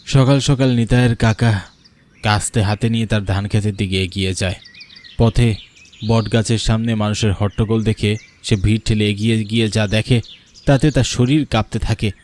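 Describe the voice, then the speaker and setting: A man's voice: on the low side, steady tone, moderate pace, a little mellow. A man narrating a scripted horror cartoon story in a dramatic storytelling style.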